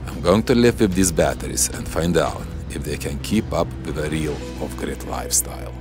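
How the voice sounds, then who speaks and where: Deep-voiced man vlogging outdoors. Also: lively intonation, normal speed, over music. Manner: friendly, addresses viewers as guys, non-native phrasing. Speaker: vlogger testing a battery. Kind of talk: scripted voice-over intro, then casual on-camera chat. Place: outdoors on a stone barn patio. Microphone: clip-on lapel mic.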